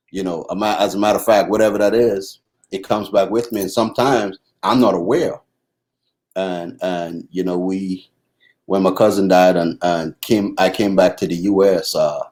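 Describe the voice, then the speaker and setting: A middle-aged man from the Bahamas with a Bahamian accent, talking casually about family and marriage, pausing and restarting often. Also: low voice, darkish tone. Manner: halting, many restarts and fillers. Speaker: husband co-host. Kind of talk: casual conversation.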